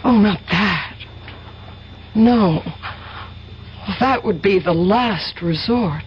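A woman's voice: medium pitch, drawn-out words. An actress playing an anxious, secretive woman in a 1970s radio drama. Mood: desperate. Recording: muffled, faintly hissy 1970s radio recording.

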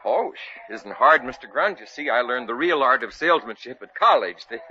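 A man's voice: mid-range voice, expressive, fairly quick, pushed and bright. An actor playing a harried, cocky young department store salesman in a 1940s radio comedy. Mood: smug. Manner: cocky, put-upon. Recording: muffled vintage radio recording.

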